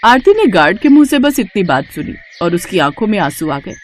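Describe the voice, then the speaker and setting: A woman's voice: medium pitch, big pitch swings, fairly quick, slight background noise. A woman reading a scripted story as narrator.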